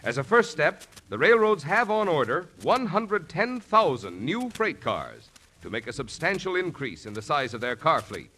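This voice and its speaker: A polished American male radio announcer reading formal scripted copy. His voice is bright and slightly high, with lively pitch movement, on a vintage 1950 broadcast recording. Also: normal speed. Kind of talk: scripted 1950s radio sponsor narration.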